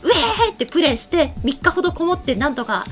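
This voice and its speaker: A young woman with a high voice co-hosts a casual talk show. She speaks quickly into a desk microphone in a small studio, with faint music behind her. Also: sing-song. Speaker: show co-host and creator. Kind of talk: casual chat. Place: small studio set, indoors.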